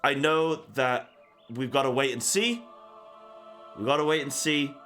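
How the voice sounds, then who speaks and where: A man's voice: medium pitch, measured pace, bright, forceful tone. A man giving casual, off-the-cuff gaming commentary as an online host, rambling and often repeating himself. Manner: rambling and repetitive, talking off the cuff. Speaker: gaming content creator and host. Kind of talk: casual reaction commentary on a game announcement. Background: background music.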